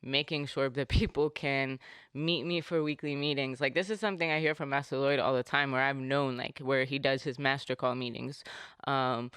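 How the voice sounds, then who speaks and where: A man hosting a podcast in casual, rambling talk, full of fillers and animated asides. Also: medium pitch, normal speed, smooth, no echo. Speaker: podcast host.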